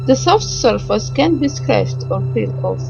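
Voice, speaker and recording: A woman narrating a visual novel playthrough, reading the text aloud haltingly with stumbles, pauses and small asides. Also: fairly high pitch, lively intonation, gravelly, highs cut off. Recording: music behind the voice. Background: game music.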